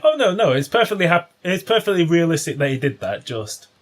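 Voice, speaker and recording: A man's voice: on the high side, big pitch swings, a little fast. A British man co-hosting a comedy podcast, telling rambling, sweary anecdotes in a casual way. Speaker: podcast co-host.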